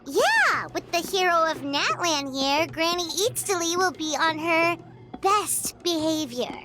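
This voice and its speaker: A woman voicing a small, cheeky, childlike companion girl with a squeaky, chirpy voice in a video game. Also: sing-song, a little slow, exaggerated voice. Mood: cheerful. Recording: music under the voice.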